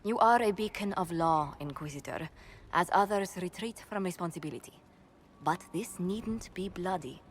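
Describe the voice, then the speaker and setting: An actress voicing a formal, measured woman advisor in a video game. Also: lowish voice, a little hiss or hum, close to an everyday voice.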